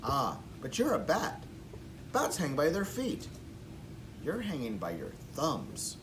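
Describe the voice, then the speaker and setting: Man doing a brash, loud bat, swooping pitch. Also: wildly swooping pitch, slow pace, noisy background, exaggerated voice. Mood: matter-of-fact. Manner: loud, questioning, insistent. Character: loud, brash, inquisitive bat, sure of itself.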